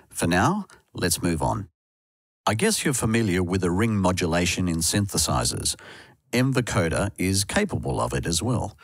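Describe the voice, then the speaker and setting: A man reading a scripted software tutorial as narrator, recorded as a voice-over. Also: sits low, no room sound, clean studio sound. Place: close dry voice-over recording.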